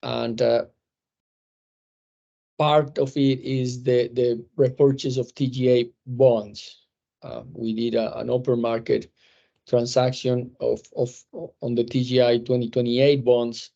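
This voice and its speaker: A man's voice: mid-range voice, flat delivery, vocal fry, dark tone. Man presenting results over a dull line. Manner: measured, hesitant with frequent fillers and restarts. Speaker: company executive presenting results. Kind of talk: earnings call presentation.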